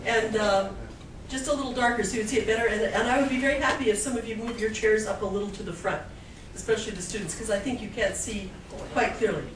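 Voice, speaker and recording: A woman's voice: mid-range voice, fast talker. A woman giving an informal, explanatory public lecture, often checking in with her listeners. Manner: explanatory, checks in with frequent "okay?" and "right?". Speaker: guest lecturer, anthropologist. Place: indoor meeting room with audience. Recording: echoey room, distant mic, background noise.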